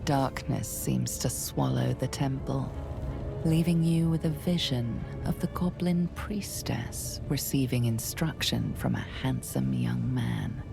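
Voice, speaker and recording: A woman's voice: very deep voice, creaky, heavily acted. A woman playing a solemn, ominous narrator who tells a fantasy game in dramatic second person. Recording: music behind the voice.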